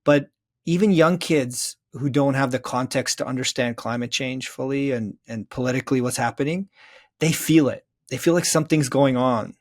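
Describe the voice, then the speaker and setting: A man's voice: medium pitch, normal speed. A middle-aged man talking reflectively as a podcast guest, earnest, building his points in emphatic, repeated phrases. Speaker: guest, children's story author. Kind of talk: reflective podcast interview conversation.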